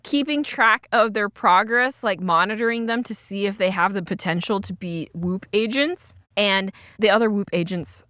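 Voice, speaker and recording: A young woman co-hosting a podcast, chatting casually and retelling a story in an animated, chatty way. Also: mid-range voice, normal speed, few pauses, dry recording, studio quality. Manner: chatty, animated, acts out quoted dialogue. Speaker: podcast co-host. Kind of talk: casual chat, retelling a cartoon's plot.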